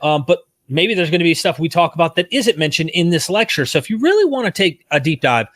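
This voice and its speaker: Lively-pitched male podcast host, dry sound. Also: no room sound. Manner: friendly, promotional, questioning. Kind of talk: interview hosting.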